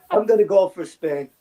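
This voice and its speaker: A man with a mellow, gentle tone and a slightly high pitch for a male voice. He chats casually as a panellist on a sports show.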